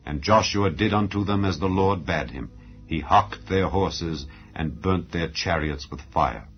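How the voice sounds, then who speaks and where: A man giving a solemn, measured, declamatory dramatized narration of scripture as an audio Bible reader. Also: low-pitched. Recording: dull lo-fi recording. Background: background music.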